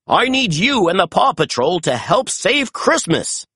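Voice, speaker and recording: Narrator putting on a kindly old christmas man, urgent and pleading, pitched up, lots of swoops. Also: big pitch swings, measured pace, pushed and bright, husky, dry recording, studio quality, exaggerated voice.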